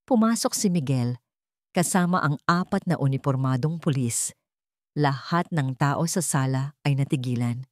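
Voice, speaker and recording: A woman's voice: rumbling low, normal speed, no echo, studio quality. A woman with a Filipino accent reading a dramatic scripted story as an audiobook narrator.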